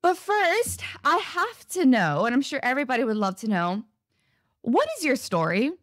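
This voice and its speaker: A woman's voice: high-pitched, very animated, a bit bright, no echo. Upbeat woman podcast host, dry sound. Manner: upbeat, enthusiastic, fast-talking. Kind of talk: casual interview.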